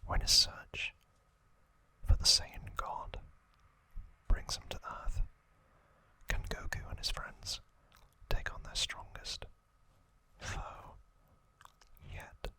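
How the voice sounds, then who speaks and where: A man whispers in an ASMR style, close to the microphone. His delivery is very slow and deliberate, and the whisper has a bright, sharp edge to it. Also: poor audio. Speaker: ASMR video creator and host.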